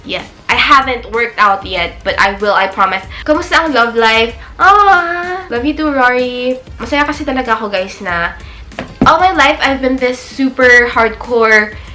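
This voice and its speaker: A woman's voice: fairly high pitch, animated, moderate pace, pushed and bright, soft background music. A twenty-year-old Filipino woman with a Filipino accent, chatting casually as she answers questions for her beauty vlog, mixing English and Tagalog. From Philippines. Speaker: beauty vlogger. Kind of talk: casual Q&A chat. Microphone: camera.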